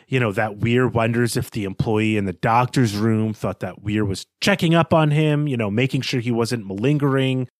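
A male podcast co-host with a mid-pitched voice that moves around in lively swings. He explains his reading of a book in a thoughtful, conversational manner, recorded dry with no room sound. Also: dry recording. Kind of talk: literary discussion, conversational analysis.

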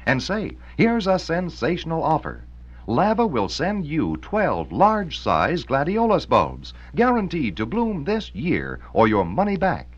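Very animated American radio ad man. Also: on the high side, unhurried. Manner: punchy, emphatic sales delivery. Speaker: commercial announcer. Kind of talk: 1940s radio advertisement. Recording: muffled vintage radio recording.

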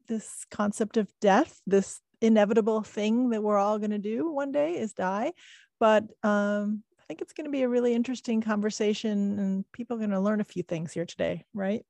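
A woman's voice: mid-range voice, fairly quick, vocal fry, a little mellow, dry recording. A middle-aged woman hosting a podcast, reading a scripted intro and then chatting with a guest in an upbeat, enthusiastic way. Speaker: podcast host.

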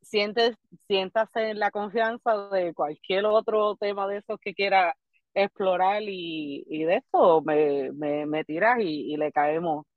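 A woman's voice: mid-range voice, steady tone, slow, no room sound. Chatty Puerto Rican woman, muffled. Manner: chatty, rambling, many restarts, Spanglish. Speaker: comedian guest. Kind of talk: casual podcast chat.

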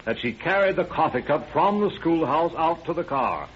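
An actor playing a confident, combative American district attorney in a 1930s radio drama, assured, emphatic and formal. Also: male voice, on the high side, expressive, moderate pace, close to an everyday voice. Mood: confident, insistent. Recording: muffled, faintly noisy old radio recording.

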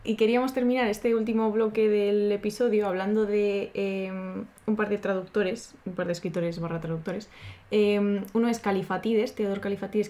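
Lively woman podcast host. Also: medium pitch. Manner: lively, informal, swears casually. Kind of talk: casual podcast chat.

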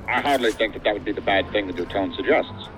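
Male federal agency boss played by a man, very low and fast-talking, dryly defiant, sci-fi audio drama. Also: fairly bright tone, faint music behind, lightly acted, rough recording. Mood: brisk, firm. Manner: dry, formal, authoritative. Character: unflappable, dryly defiant male assistant director of agents. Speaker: actor in a science fiction audio drama.

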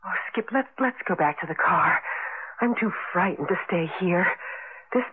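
A woman's voice: lowish voice, expressive, normal speed, close to an everyday voice. An actress playing an anxious, hesitant American woman, frightened and lost, in a radio drama. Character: frightened woman lost with her husband in a deserted town. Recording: noisy, lo-fi radio broadcast recording.

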